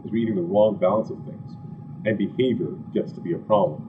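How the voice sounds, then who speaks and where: Animated man lecturing, distant and muffled. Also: lowish voice, quick pace. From Ghana. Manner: animated and conversational, with asides and rhetorical questions. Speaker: physician giving a health talk. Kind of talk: live seminar lecture with audience questions. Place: lecture room with an audience. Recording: distant, dull, slightly noisy room recording.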